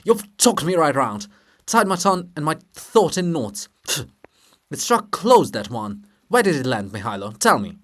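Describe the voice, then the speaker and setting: A man's voice: on the high side, very animated, moderate pace. A man voicing an indignant, foul-mouthed old male statue of a poet in a dramatic play reading. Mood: indignant, flustered. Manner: bellowing, cursing, indignant.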